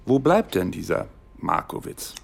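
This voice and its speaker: An actor playing a sceptical, pedantic finance minister with a dry, fussy manner in a satirical radio play. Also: male voice, deep voice, big pitch swings, measured pace, vocal fry, breathy edge, full character voice. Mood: sceptical, worried.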